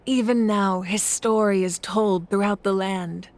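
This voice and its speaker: A woman voicing an adult collector in a video game, telling old stories in a measured, reflective way. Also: mid-range voice, fairly even intonation, slight background noise, close to an everyday voice. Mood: calm, reflective. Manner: measured, reflective storytelling with soft laughs. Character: adult woman collector, calm and thoughtful storyteller. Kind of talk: video game cutscene dialogue. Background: game music.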